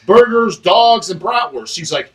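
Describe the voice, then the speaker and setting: A man's voice: high-pitched, animated, unhurried, reverberant, far from the mic, over a noisy crowd. A man in his forties telling stories in a casual, profane chat, often talking over the others. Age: 40s.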